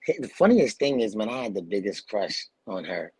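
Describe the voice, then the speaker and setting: Husky-voiced man telling stories in a laid-back way. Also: fairly low pitch, sing-song, normal speed, a little mellow, dry recording, low-quality recording. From New Jersey. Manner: laid-back, laughing storyteller with slang. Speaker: guest actor. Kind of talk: casual storytelling interview. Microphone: video call.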